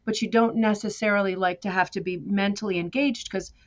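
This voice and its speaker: A woman's voice: medium pitch, steady tone, fairly quick, lo-fi sound. An American woman telling her story as a guest in a conversational interview, chatty and self-deprecating. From United States. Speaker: guest artist.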